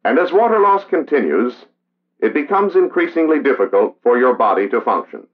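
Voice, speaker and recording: Expressive American narrator, vintage training film. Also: male voice, mid-range voice. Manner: measured, solemn, emphatic. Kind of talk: scripted 1960s documentary narration. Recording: dull vintage film soundtrack. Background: music.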